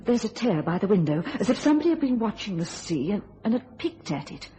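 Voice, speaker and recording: An actress playing a haunted, pleading wife in a radio thriller, with an earnest and dreamy dramatic delivery. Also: female voice, medium pitch, big pitch swings, fast, warm tone, slight background noise, natural voice. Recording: radio drama with music behind.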